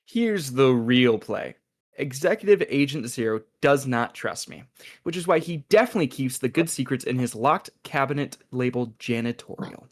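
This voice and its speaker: A man's voice: mid-range voice, lively intonation, normal speed. A man playing a smug, chatty, scheming agent in a sci-fi comedy table read, with a glib, dramatic manner. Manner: glib, dramatic, stammers when cornered.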